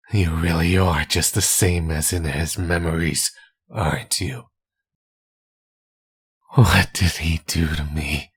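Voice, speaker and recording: A man playing a menacing, tormented villain spirit possessing someone's body, in a husky, brooding, deliberate monologue for an ASMR audio roleplay. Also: no echo, exaggerated voice. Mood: hushed, anguished. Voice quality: husky, breathy low voice. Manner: slow, deliberate, brooding monologue with dramatic pauses.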